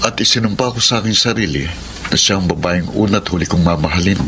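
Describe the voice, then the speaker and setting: An actor playing an earnest, persuasive young suitor and former playboy in a dramatic radio romance. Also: male voice, sits low, fast, background noise, lightly acted. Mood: earnest, confessing. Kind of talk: Tagalog radio drama acting. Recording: radio drama with loud background music. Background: loud music.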